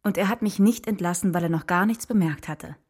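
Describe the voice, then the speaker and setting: A woman playing a meek, apologetic, weary mother in a children's radio play, gentle and evasive. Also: on the low side, brisk, husky.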